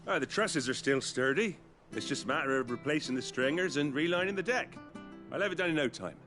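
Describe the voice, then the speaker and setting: An actor playing a humble, chatty working-class carpenter with a British accent in a fantasy video game, folksy and a little flustered. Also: male voice, on the high side, lively intonation, brisk, fairly bright tone, faint music behind. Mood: earnest, friendly.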